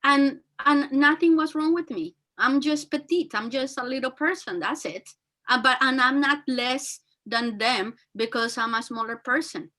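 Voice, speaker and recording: A woman with a very high, bright and forceful voice talks earnestly, her full of fillers and restarts. She speaks as a teacher and guest, giving motivational advice. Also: a little slow. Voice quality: very high-pitched voice. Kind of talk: motivational talk.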